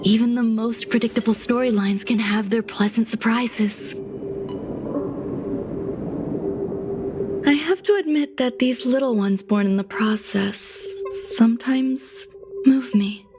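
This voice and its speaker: A woman as an aloof, softly spoken genius scientist, music behind. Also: fairly high pitch, lightly acted. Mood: quietly reflective. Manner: measured, formal, softly breathy delivery with pauses. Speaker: game character voice actor. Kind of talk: scripted game dialogue, slow reflective monologue.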